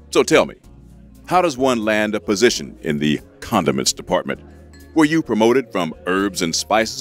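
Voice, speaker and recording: Man playing an exasperated, sarcastic diner, wildly swinging pitch. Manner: sarcastic, increasingly indignant. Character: exasperated, sarcastic male restaurant customer dining alone. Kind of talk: comedy sketch audio acting.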